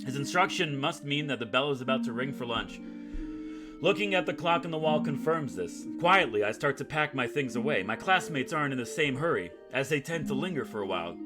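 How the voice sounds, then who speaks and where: A man hosting a gaming video, reading a visual novel aloud like a storyteller and dropping in casual, jokey commentary. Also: medium pitch. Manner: jokey asides, dramatic storytelling read. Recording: music playing behind the voice.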